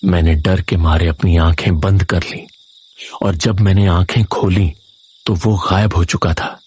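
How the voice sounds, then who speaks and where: A man's voice: low voice, steady tone, measured pace, a little mellow, slightly rough. A man narrating a scripted first-person horror story in a dramatic, suspenseful manner.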